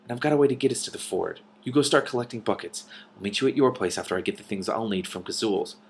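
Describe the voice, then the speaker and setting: Casting: male reader as young princess, storybook, practical, brisk, dry and unimpressed. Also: fairly low pitch, close to an everyday voice. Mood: brisk, resolute. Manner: flat, brisk, sceptical. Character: bold, practical, dryly cutting young princess.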